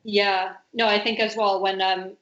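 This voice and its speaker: A woman's voice: medium pitch, flat delivery, measured pace, fairly bright tone. A young woman from the United States moderating a panel discussion over a video call, talking with many fillers and hedges. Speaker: talk moderator. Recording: roomy, muffled call audio.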